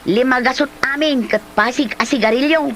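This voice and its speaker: A woman's voice: sits high, brisk, fairly bright tone, a little hiss or hum. A woman playing a nagging, no-nonsense village storekeeper in a comedy drama, scolding in a Filipino accent. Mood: scolding, exasperated. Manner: scolding, challenging. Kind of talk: Ilocano comedy drama acting.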